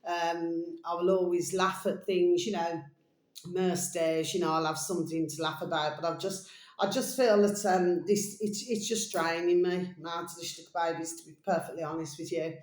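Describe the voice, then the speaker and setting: A tired woman video blogger chatting casually and ramblingly to her viewers, pausing often and repeating pet endearments. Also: fairly low pitch, steady tone, darkish tone. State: mentally tired, drained. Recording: echoey, roomy sound.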